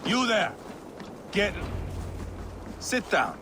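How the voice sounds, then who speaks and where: An actor playing a fierce, boastful, proud Viking warrior in a video game cutscene. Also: male voice, high-pitched, big pitch swings, extremely slow, noisy background, heavily acted. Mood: brash. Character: fierce, swaggering male Viking warrior, brutal, restless, proud.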